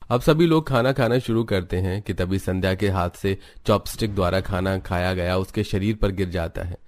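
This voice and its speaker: A man reading scripted narration for an animated family story. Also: sits low, normal speed.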